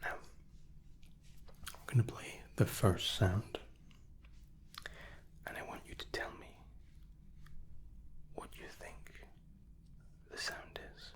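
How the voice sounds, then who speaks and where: A man doing an ASMR roleplay as an examiner, speaking softly and in whispers, gently reassuring and repeating words. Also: slow, long pauses. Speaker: ASMR host playing an examiner.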